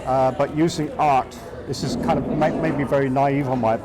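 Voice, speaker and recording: A man's voice: medium pitch, fairly even intonation, moderate pace, music in the background. A middle-aged man from England with a southeast English accent, an artist speaking casually in an interview, hesitant with many fillers and restarts. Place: outdoors in a town.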